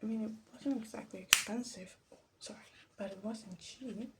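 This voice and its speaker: A soft-spoken woman chatting casually to herself in ASMR style, often slipping into whispers, recorded in a bedroom. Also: a little high, moderate pace, heavy background noise. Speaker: ASMR video creator. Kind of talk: casual ASMR commentary while making perfume.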